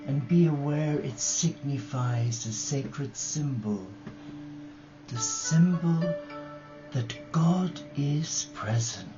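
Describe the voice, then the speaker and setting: A man leading a guided meditation and prayer as a religious brother, speaking gently and reverently in short phrases with long pauses. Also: on the high side. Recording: dull lo-fi live recording with music.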